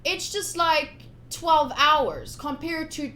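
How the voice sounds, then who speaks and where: A woman's voice: sits high, slow pace, bright, forceful tone, echoey room, a little hiss or hum. A woman live-streaming a game, chatting casually and informally and speaking straight to her viewers. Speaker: live streamer playing a visual novel.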